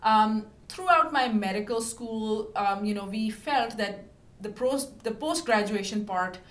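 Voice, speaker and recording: A woman from Pakistan in her thirties, a physician being interviewed, who explains things in a measured way with many fillers. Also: mid-range voice, reverberant. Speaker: physician guest. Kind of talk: interview answers. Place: podcast studio.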